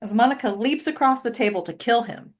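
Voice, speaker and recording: A woman narrates a script at a table read. Her pitch is a little high. She reads out the stage directions in scripted narration. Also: a little fast.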